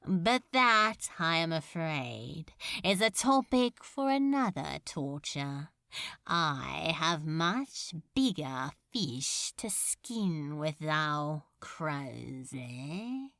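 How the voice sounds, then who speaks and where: A woman's voice: low-pitched, sing-song, gravelly, pushed and bright, dry recording, voice pushed down, exaggerated voice. Woman voicing a languid, menacing male demon lord. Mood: menacing relish. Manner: archaic, drawling, deliberate menace. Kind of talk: audiobook character acting.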